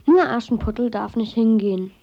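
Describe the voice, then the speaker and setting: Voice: medium pitch, a little fast, dark tone. A child retelling a fairy tale in the present tense, recorded on lo-fi cassette tape.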